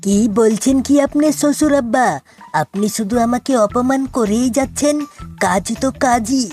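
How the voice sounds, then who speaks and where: Woman as a cartoon male fox, high bright voice, slow delivery, lovesick, dramatic, pleading. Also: soft background music, natural voice. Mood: indignant. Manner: melodramatic, wailing and exclaiming. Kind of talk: cartoon voice acting.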